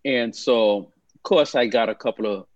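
A middle-aged man from Haiti, chatting as an interview guest in a relaxed, rambling way and repeating words as he thinks. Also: mid-range voice, moderate pace, dry recording, muffled recording. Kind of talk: casual storytelling interview.

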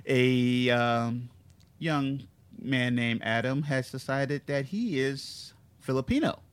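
Male podcast host, middle pitch, dry and wry, loose banter. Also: expressive, drawn-out words, pauses often, dry recording. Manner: reads news stories with wry asides. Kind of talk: casual comedy podcast chat.

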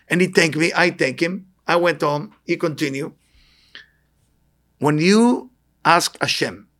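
Higher-pitched Israeli man giving a spiritual talk. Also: a bit bright. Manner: emphatic, repeats short phrases. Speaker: spiritual teacher. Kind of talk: inspirational talk with anecdotes to a live audience.

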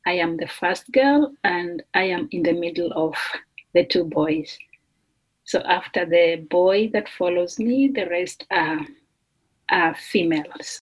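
A woman from Kenya, a doctoral student, talking slowly and thoughtfully with fillers as a guest on a remote podcast interview. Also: lowish voice, rough recording. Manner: slow, thoughtful, with fillers and restarts. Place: remote call.

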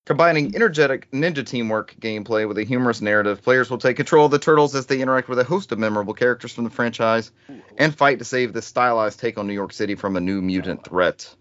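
A man hosts a casual gaming podcast with a joking, loose manner. His voice sits at an average pitch, recorded close and dry with a slightly lo-fi, muffled top end. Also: quick pace, fairly bright tone, dry recording. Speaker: podcast host. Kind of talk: casual gaming news chat.

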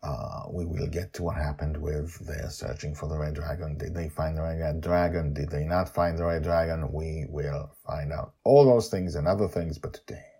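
Deep raspy guy doing a story podcast, dramatic phrasing, pauses for effect. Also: steady tone, warm tone. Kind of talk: dramatic podcast narration and commentary.

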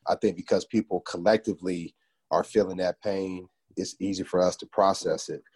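Male American interview host, slightly low voice, thoughtful, affirming, conversational. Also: steady tone, moderate pace, darkish tone, breathy edge. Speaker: interviewer, book podcast host. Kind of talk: conversational interview with reflective comments.

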